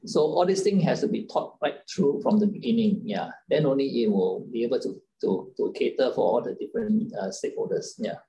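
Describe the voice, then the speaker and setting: A man's voice: medium pitch, big pitch swings, a little fast, vocal fry, hardly any pauses, mellow. An older Singaporean man on an online panel, explaining step by step and checking in with short tag words. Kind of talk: unscripted panel answer. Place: indoors, on a video call. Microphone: video call microphone. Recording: noisy video call with room ambience.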